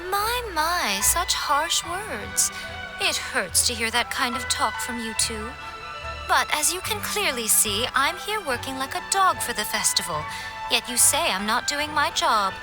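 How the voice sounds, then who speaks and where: A sly, poised lady in charge from a video game. Her voice is fairly high and unhurried, with a teasing, condescending lilt. Music is clearly heard behind her. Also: very animated, low-quality recording. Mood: playful teasing.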